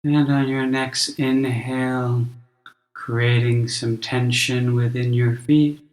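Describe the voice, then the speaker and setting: Man, meditation guide, very slow delivery, gentle, measured, long pauses, echoey room sound. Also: medium pitch, flat delivery. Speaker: meditation teacher.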